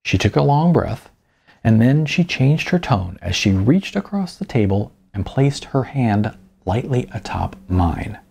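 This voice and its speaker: Audiobook narrator: man, husky and low, wry conversational delivery with wide-swinging intonation. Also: big pitch swings, a little slow, darkish tone. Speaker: author narrating own audiobook. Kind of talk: expressive first-person novel narration.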